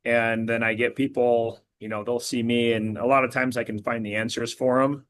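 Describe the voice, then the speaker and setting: Man, hoarse and a bit worn out, casual rambling interviewee, mid-range pitch. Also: flat delivery, normal speed, a bit bright. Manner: rambling, many fillers and restarts. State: voice partly lost, a bit hoarse. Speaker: blood analysis practitioner and girls flag football coach.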